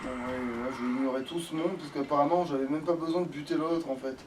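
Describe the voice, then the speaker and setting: A man's voice: on the high side, steady tone, quick pace. A man giving casual let's play commentary on a video game, grumbling sarcastically and swearing freely. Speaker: let's player. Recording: slightly distant mic with faint noise.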